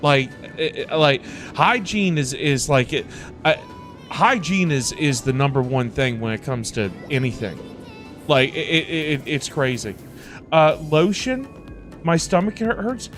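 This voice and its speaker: A man with a slightly high voice chats casually as a livestream host. He rambles, circles back and repeats phrases in stop-start bursts, with faint music behind him. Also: animated, measured pace, a bit bright. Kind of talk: casual livestream chat, reading and answering viewer messages.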